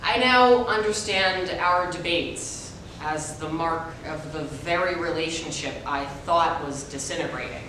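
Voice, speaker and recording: A woman's voice: a little slow, hardly any pauses. A woman performing rehearsed dramatic narration as a reader's theater performer. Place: large echoey room, distant microphone. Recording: very echoey hall, distant mic, faint rumble.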